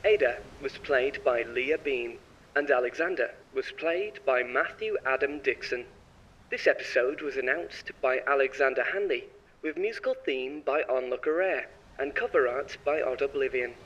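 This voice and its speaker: A man's voice: mid-range voice, lively intonation, moderate pace, a bit bright, highs cut off. Guy announcer reading scripted credits, bit far from the mic, faint noise.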